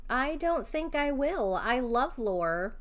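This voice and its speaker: A woman's voice: sits high, very animated, slow, a bit bright. A woman streamer reacting casually to a game, chatty and emotive.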